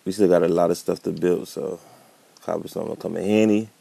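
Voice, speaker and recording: Very low, gravelly man with a cold. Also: steady tone, brisk, warm tone, dry recording. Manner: laid-back, thinking aloud while building. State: catching a cold. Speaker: gameplay commentator. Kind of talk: casual gameplay commentary.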